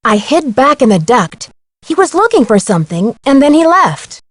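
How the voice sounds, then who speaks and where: Game heroine, young American woman detective, lightly high voice, plucky, curious. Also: sing-song, moderate pace, no room sound, studio quality. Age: young adult. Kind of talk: video game voice acting.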